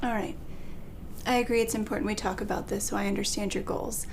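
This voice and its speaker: An actor playing a woman doctor who speaks to patients in a calm, reassuring, clinical way in a training dramatization. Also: mid-range voice, fast talker, vocal fry, a little hiss or hum, lightly acted. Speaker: physician in a role-play.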